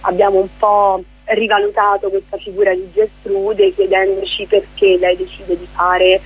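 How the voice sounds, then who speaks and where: A woman's voice: medium pitch, moderate pace, slightly rough, dry recording, phone-quality sound. A woman speaking as a guest theatre director in a conversational radio interview, explaining things discursively.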